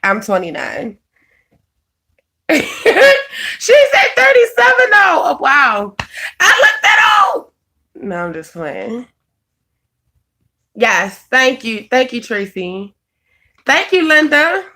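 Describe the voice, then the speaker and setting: A woman's voice: sits high, wildly swooping pitch, slow pace, long pauses, pushed and bright. A woman, chatting casually on a live stream, answering viewers by name and laughing often. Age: 20s. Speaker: vlogger reading live chat.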